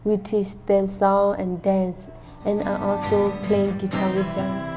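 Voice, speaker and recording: A teenage girl from Burma reads her personal story as a high school student, in careful, simple phrasing. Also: completely flat delivery, measured pace, over music. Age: late teens.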